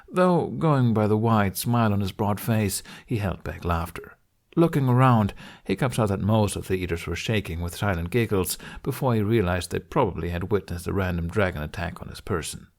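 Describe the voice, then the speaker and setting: A man reading scripted story narration as the narrator of an audiobook. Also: on the low side.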